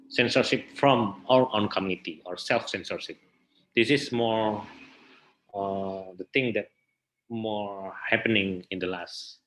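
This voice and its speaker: A man with an Indonesian accent answering interview questions in a hesitant, halting way, searching for words. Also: on the low side, a bit bright, rough recording. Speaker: interview guest, theater festival director.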